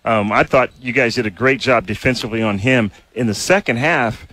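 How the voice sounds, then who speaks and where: A male radio color analyst questioning a coach. His pitch sits at a middle level. His questions ramble and stall with restarts. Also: fairly quick, sharp tone. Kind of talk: postgame radio interview.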